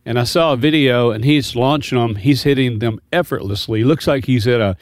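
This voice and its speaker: A man's voice: medium pitch, fairly quick, dry recording, clean studio sound. A man co-hosting a radio call-in show, joking and telling rambling stories in casual banter. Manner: jokey, rambling storyteller.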